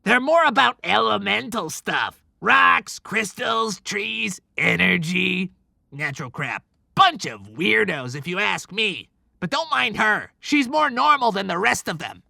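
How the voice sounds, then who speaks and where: An actor playing an excitable, stubborn, wisecracking sidekick of Santa's in an audiobook drama, with a creaky, bright voice. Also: mid-range voice, unhurried, dry recording, full character voice. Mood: sardonic. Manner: sarcastic, animated, mouthy. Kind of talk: cinematic audiobook character acting.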